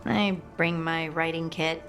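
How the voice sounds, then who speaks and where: A woman's voice: sits low, steady tone, a little slow, a bit bright. An actress playing a practical, outspoken young outdoorswoman in a video game, plain-spoken and friendly with teasing exasperation. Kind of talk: video game cutscene acting.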